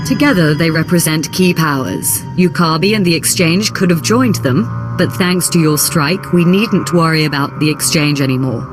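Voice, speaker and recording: A woman playing a smooth, ambitious imperial diplomatic operative in a video game, speaking in formal, polished, deferential phrases. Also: low-pitched, normal speed, music in the background, natural voice. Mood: courteous, pleased. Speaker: imperial special operations chief. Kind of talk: dramatic video game dialogue.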